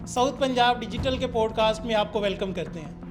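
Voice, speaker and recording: A woman's voice: fairly high pitch, a little fast, over music. A woman with a Pakistani accent hosting a podcast interview and addressing the guest. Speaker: podcast host. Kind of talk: interview questioning.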